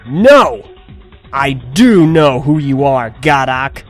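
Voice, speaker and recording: Man acting an earnest young hero, swooping pitch. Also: big pitch swings, takes it slowly, faint music behind. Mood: defiant, shaken. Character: earnest young male bio-mechanical hero, defiant, troubled. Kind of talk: audio drama acting.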